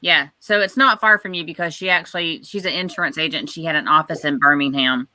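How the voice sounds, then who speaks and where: A speaker in their forties hosts a casual group video call and keeps asking the guests friendly questions. The tone is very bright and sharp. The sound is dry and close. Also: mid-range voice, steady tone, quick pace, dry recording. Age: 40s. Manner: friendly, inquisitive.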